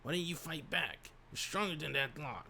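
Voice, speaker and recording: A man voicing a fierce, foul-mouthed tiny old grandmother with a rough rural drawl, shrieking in anger and hushed in tenderness. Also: on the high side, normal speed, slight background noise, pitched above the performer's own voice, heavily acted. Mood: tender stern.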